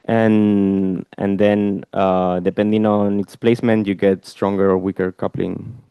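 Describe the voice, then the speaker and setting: A man's voice: fairly low pitch, flat delivery, a little slow, vocal fry, smooth, no room sound, highs cut off. A man researcher answering questions after his talk at a conference, hesitant, with many fillers and restarts.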